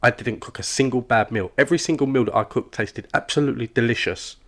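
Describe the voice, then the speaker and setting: An actor playing an oblivious, relentlessly chatty office worker in an animated comedy, with a rambling, oversharing manner. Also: male voice, mid-range voice, quick pace, lightly acted. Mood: cheerful boasting. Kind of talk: comic animated dialogue.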